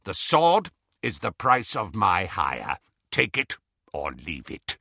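A man voicing a stern, proud, easily angered goblin in an audiobook, speaking in a firm, deliberate, formal way. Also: sits low, huge pitch swings, creaky, sharp tone, slightly rough, no room sound, studio quality, exaggerated voice. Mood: angry, indignant. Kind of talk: audiobook character voice.

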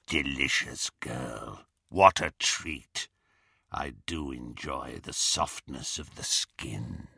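A savage werewolf thug from a storybook. The voice is a low, rasping growl that drags slowly over words, gloating and menacing. It sounds close and dry. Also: male voice, sharp tone, no room sound, exaggerated voice. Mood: gloating menace. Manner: slow, relishing, menacing.

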